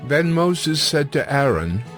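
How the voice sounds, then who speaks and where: A man narrating scripture from a script in a measured, solemn manner. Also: on the high side, sing-song, a bit bright. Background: music.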